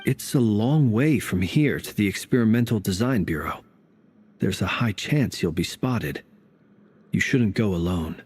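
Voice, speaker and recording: An actor voicing a grave, watchful adult man in a video game, speaking in a low, steady, serious way. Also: unhurried, breathy edge.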